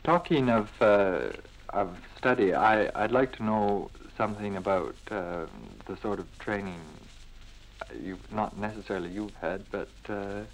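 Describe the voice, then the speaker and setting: Lowish-voiced man, halting polite interviewer. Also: a little hiss or hum, low-quality recording. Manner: halting, polite, roundabout questioning. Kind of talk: unscripted radio-style interview.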